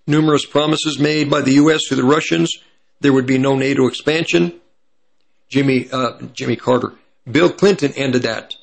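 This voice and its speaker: A man with a slightly raspy voice from hay fever, giving prophecy commentary as he reads from an article and stops to correct himself. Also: mid-range voice, fairly even intonation, rough recording. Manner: pauses to correct himself mid-sentence.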